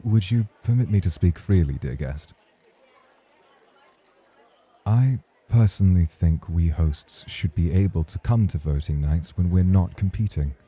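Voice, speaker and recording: A man's voice: low voice, normal speed, few pauses, warm tone, breathy edge, some chatter behind. A man acting in an ASMR roleplay as a suave, teasing club host, formal and flirtatious in his way of talking. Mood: playful protest.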